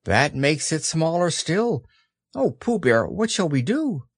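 A man's voice: on the high side, sing-song, slow, slightly rough, no echo, studio quality, pitched above the performer's own voice, exaggerated voice. A man voicing an eager, caring young storybook boy with an earnest, exclamatory manner. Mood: sad.

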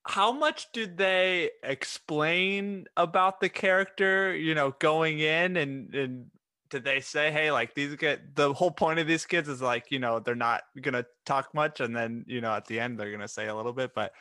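A man's voice: fairly high pitch, moderate pace, sharp tone, dry recording. A man co-hosting a podcast, asking long, loose questions full of "like" and "you know.". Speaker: podcast co-host. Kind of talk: casual interview questions.

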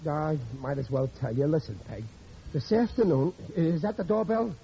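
A blustering, gullible working-class husband in a vintage radio comedy. His voice is soft-edged and swings widely in pitch as he frets and muddles his grammar. The old recording is muffled and noisy. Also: normal speed, gravelly. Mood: nervous, agitated. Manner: excitable, muddled, ungrammatical. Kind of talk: 1940s radio comedy acting.

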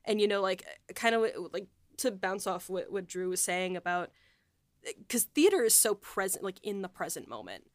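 A woman's voice: medium pitch, very animated, moderate pace, no echo, clean studio sound. A young woman in a casual, reflective group chat, effusive and often hesitating around the word "like". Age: young adult. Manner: effusive, hesitant phrasing with frequent "like". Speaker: podcast co-host, writer and producer.

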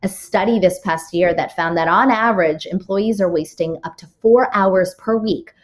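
A woman's voice: medium pitch, normal speed. A woman author, a guest on a podcast, laying out her rules point by point and backing each with a story. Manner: structured, explains with examples and numbered rules; occasional restarts and fillers. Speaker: author and keynote speaker, guest. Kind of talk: podcast interview answers.

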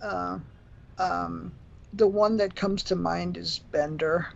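A man who is a musician guest on a radio show, answering haltingly with many ums. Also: high voice, steady tone, vocal fry. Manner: hesitant, halting, full of ums. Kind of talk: casual radio interview answers. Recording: dull lo-fi line with faint noise.

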